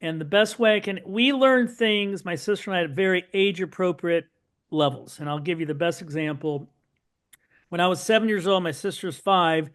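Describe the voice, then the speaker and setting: A middle-aged man, a guest on an interview podcast, telling his family's story in a lively, anecdotal way full of asides. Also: sits high, moderate pace. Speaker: podcast guest, son of Holocaust survivors.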